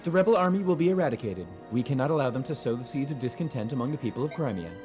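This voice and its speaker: A man voicing a young queen in game dialogue, gentle yet resolute, speaking formally and earnestly. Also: mid-range voice, animated, very fast, faint music behind, lightly acted. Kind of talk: game dialogue read aloud in a fan dub.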